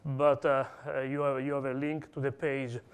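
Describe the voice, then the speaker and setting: A man with an Italian accent giving a technical conference talk, pausing often with fillers and restarts. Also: mid-range voice, little pitch movement, clean tone. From Italy. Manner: hesitant, many "uh" fillers and restarts. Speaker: conference speaker. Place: conference hall.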